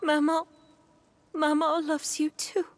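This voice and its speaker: A woman voicing a frail, loving, sorrowful mother in a game, soft and breathy with a tender, halting delivery. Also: sits high, flat delivery, noisy background. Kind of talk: emotional game dialogue.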